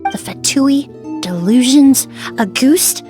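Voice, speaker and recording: An actress playing a composed, sharp-minded female investigator in a video game, speaking in a measured, formal, probing way. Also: sits high, animated, faint music behind. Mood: accusing.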